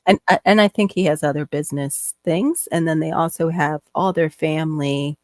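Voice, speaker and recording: A woman co-hosting a casual live chat, playful and chatty, quick to laugh. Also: sits low, steady tone, no echo, clean studio sound.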